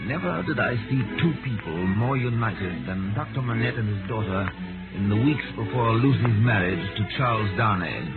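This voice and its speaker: An actor playing a grave gentleman narrator, measured, literary and theatrical, in 1930s radio storytelling style. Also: on the low side, lively intonation. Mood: reflective. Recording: tinny 1930s radio broadcast, loud music.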